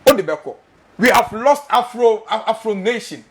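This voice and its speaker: A man's voice: high-pitched, expressive, normal speed. A Ghanaian man hosting a studio talk show, speaking English with a Ghanaian accent, passionate and emphatic, repeating his questions and slipping into Twi. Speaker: host.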